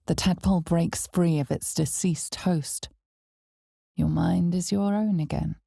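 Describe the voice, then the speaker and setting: A woman playing an ominous, knowing narrator of a dark fantasy game, speaking in a measured, theatrical way that turns hushed and breathy at times. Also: fairly low pitch, steady tone, no room sound, clean studio sound, full character voice. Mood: measured, intrigued.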